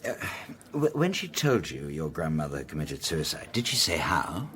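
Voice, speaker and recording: A man's voice: a bass voice, big pitch swings, moderate pace, a bit bright, a little hiss or hum, exaggerated voice. A British man acting in a radio drama as a reluctant, sceptical middle-aged father who gardens and investigates, with a hesitant, stammering delivery. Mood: flustered. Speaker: actor in lead role.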